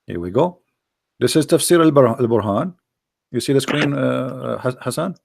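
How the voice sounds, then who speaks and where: Creaky, sarcastic male talk show host. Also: medium pitch, expressive, moderate pace, a little mellow, no echo, studio quality. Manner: mocking, sarcastic, with a non-native grammar. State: losing his voice.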